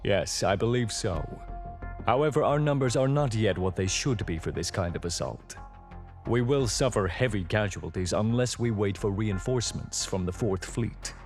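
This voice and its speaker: An actor playing a stern, dutiful space fleet admiral in a video game, with formal military diction. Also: male voice, on the low side, normal speed, a little mellow, faint music behind, close to an everyday voice. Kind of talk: video game cutscene acting.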